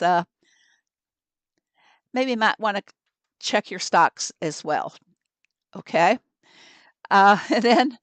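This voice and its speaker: Hoarse, chatty woman streamer. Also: fairly high pitch, no room sound. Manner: chatty, upbeat, laughs often, talks to chat. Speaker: game streamer. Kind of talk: casual livestream chat to viewers.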